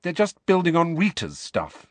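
An anxious, clever teenage witch, played by a man reading a storybook, her voice lifted well above his own. She explains things quickly and earnestly, with lively swoops in pitch. The sound is dry and close. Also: no room sound. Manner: rapid, earnest, nervous explaining. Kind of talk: audiobook character voice.

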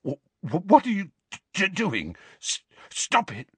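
A man's voice: very high-pitched, big pitch swings, takes it slowly, bright, forceful tone, no room sound, voice pushed up, heavily acted. A man voicing a big bullying teenage boy in a storybook, snarling and taunting, easily panicked. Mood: terrified.

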